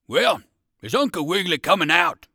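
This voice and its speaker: A man's voice: on the high side, very animated, normal speed, a bit bright, dry recording, studio quality. A man voicing a kind old circus dog soldier in a children's story, hearty, polite and emphatic. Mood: brisk, commanding.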